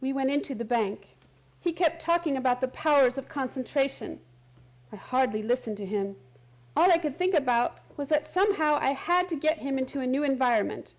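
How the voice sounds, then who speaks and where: A woman's voice: high voice, lively intonation, fairly quick, low-quality recording. A woman acting in a radio play as an anxious, caring character who narrates and speaks in an earnest, hesitant, emotionally heightened way. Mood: troubled narrating. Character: anxious, caring young woman recounting a strange event.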